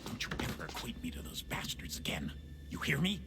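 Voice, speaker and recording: An actor playing a stern, demanding father in a video game, gruff, scolding and urgent. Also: on the low side, huge pitch swings, a little fast, in a whisper, loud music behind the voice, exaggerated voice. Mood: angry, urgent. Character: stern, demanding older father of a grown son. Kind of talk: video game cutscene acting.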